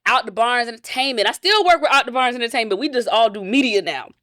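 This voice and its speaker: A deep-voiced woman, speaking in a casual interview. She sounds animated and reflective, often restarting her sentences. The recording is dry and close, with no room sound. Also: quick pace, no room sound. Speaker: interview guest.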